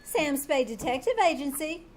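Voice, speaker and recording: A woman's voice: sits high, animated, moderate pace, heavy background noise. A woman playing a sweet, girlish, adoring secretary with a gushing manner in a live old-time radio drama. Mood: chirpy.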